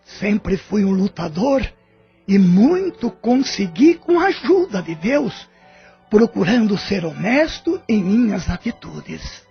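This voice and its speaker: A Brazilian man playing the solemn, loving spirit of a dead father in an audio drama, speaking formally and with measured care. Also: high voice, sing-song, creaky, full character voice. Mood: solemn, grateful. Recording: dull lo-fi audio with music underneath. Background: music.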